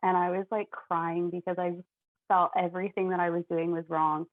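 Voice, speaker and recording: Young American woman teacher, earnest and chatty, slightly low voice, thin dry call audio. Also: little pitch movement, moderate pace, no room sound, narrow, tinny audio. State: has an ear infection, may sound loud. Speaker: first grade teacher. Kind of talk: online panel talk. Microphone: video call.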